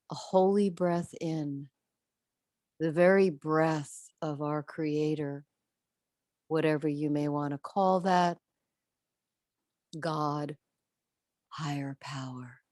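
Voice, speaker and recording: A woman with a low, mellow voice guides a meditation like a spiritual coach. She speaks gently and very slowly, leaving long pauses and letting phrases trail softly into breath. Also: no echo, studio quality.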